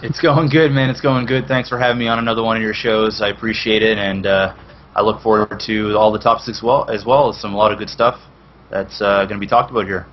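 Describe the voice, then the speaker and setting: A man's voice: fairly low pitch, steady tone, fast talker. A young man with a Canadian accent chatting casually as a guest on an online show. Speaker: guest, esports streamer. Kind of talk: casual chat. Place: indoor room on a video call. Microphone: headset. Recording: dull, noisy video call audio.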